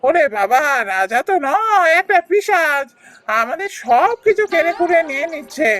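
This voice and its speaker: An actor as a high, animated, humble villager. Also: normal speed, heavily acted. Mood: plaintive. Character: timid, humble villager fleeing a cruel king. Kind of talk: Bengali fairy-tale cartoon dubbing. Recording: music under the voice.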